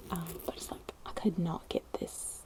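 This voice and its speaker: A young woman with a put-on posh British accent, whispering a gentle, rambling ASMR chat into a close microphone. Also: normal speed. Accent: put-on posh British English. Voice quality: whispered, hushed. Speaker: ASMR creator. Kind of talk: soft-spoken ASMR chat. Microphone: close sensitive ASMR microphone.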